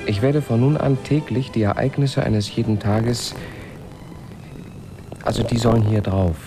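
An actor playing an awkward, shy space traveller in a radio play, speaking haltingly and dryly, often as if to himself. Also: male voice, medium pitch, steady tone, over music, lightly acted. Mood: musing. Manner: hesitant, halting, often breaking off and restarting. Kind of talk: naturalistic German radio play acting, partly as a spoken log.

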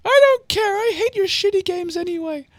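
Squeaky, whiny young guy. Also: lively intonation, moderate pace, no room sound, clean studio sound. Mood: petulant. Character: C C, young man, whiny, bored, crude complainer.

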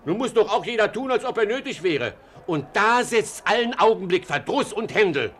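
A man's voice: sits high, normal speed, breathy edge, heavily acted. An actor playing a cautious, grumbling townsman in a theatrical 1940s German radio play. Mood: grumbling, indignant. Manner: theatrical, expressive, complaining. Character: cautious, grumbling Flemish townsman worried about the Inquisition. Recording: vintage 1949 radio drama recording.

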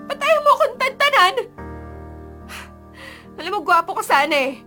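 High-pitched feisty young college woman. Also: big pitch swings, moderate pace, bright, forceful tone, soft background music, exaggerated voice. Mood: angry, tearful. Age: young adult. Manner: emotional, scolding, dramatic. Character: feisty, indignant young female college student. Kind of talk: Filipino radio drama acting.

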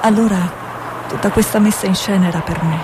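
An actress playing a distraught, idealistic journalist in a stage drama, earnest and emotional. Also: female voice, medium pitch, fairly quick, natural voice. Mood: earnest, sorrowful. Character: distraught, idealistic female journalist caught between life and death. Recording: clearly noisy background.

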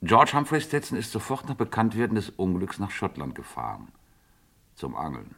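An actor playing a cool, efficient aide to a scheming financier in a vintage German radio crime play, dry and precise. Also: male voice, low voice, huge pitch swings, normal speed, vocal fry, fairly bright tone, a little hiss or hum, exaggerated voice. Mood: crisp reporting.

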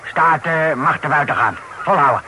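An actor playing a stubborn, dismissive local official who talks in clipped, telegram-like phrases in an old radio play. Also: male voice, on the high side, moderate pace, background noise, close to an everyday voice. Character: curt, stubborn male local official, deputy of the bailiff.

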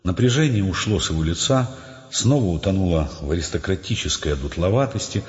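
Low-voiced narrator in long reverb. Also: male voice, expressive, a little fast. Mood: grave. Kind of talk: scripted third-person drama narration. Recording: reverberant, slightly muffled, music behind.

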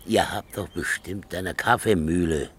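An actor playing a stubborn, lonely old man in a German radio play, halting, repetitive and pleading. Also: low-pitched, a bit bright, a little hiss or hum. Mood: insistent. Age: older.

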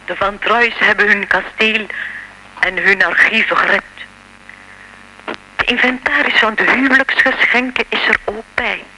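Bright-voiced, mysterious old lady. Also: medium pitch, fairly even intonation, a little slow, low-quality recording. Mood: composed, revealing. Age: older. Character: mysterious old lady from southern France, knowing and composed. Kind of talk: radio play acting.

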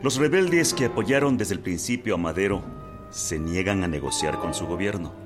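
Low-voiced, formal military aide. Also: male voice, expressive, moderate pace, over music. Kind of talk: radio drama acting.

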